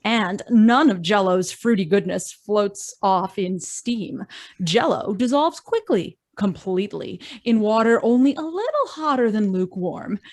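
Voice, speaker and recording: A woman's voice: expressive, a little slow, no echo. A woman reading an upbeat, persuasive old-time radio commercial over a video call. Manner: upbeat, persuasive sales pitch. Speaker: radio announcer. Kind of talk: vintage radio ad.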